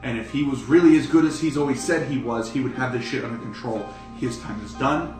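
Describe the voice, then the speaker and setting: A man's voice: medium pitch, fairly quick, a little mellow. Male game master, casual and sweary improvised storytelling, roomy distant sound over music. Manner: casual, profane. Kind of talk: improvised tabletop game narration.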